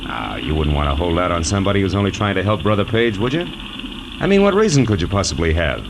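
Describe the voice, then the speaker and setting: An actor playing a wisecracking, world-weary private detective in 1940s radio noir style, wry and clipped. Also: male voice, sits low, big pitch swings, fast, background noise. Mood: needling, flippant. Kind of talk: 1940s radio noir acting and first-person narration. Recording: vintage 1940s radio recording, music behind.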